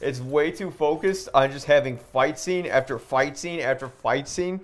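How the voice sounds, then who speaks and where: A man's voice: medium pitch, normal speed. A man co-hosting a casual podcast chat, giving blunt, dismissive opinions and swearing freely while playing a game. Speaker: podcast co-host.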